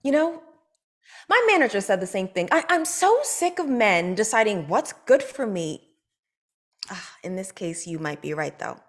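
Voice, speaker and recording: A woman reading the part of a restless TV writer at a table read, brisk and self-justifying as she breaks up with her boyfriend. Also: mid-range voice, big pitch swings. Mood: defiant.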